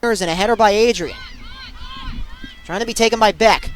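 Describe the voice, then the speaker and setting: High-pitched young male sports play-by-play announcer. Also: moderate pace, slight background noise. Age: young adult. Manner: excitable, bursting into shouts on big plays. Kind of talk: live play-by-play sports commentary.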